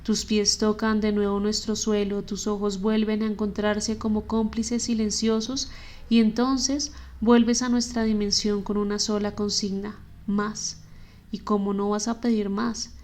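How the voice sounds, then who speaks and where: A woman reading a novel aloud as a podcast narrator, steady and unhurried. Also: mid-range voice. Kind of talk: audiobook reading.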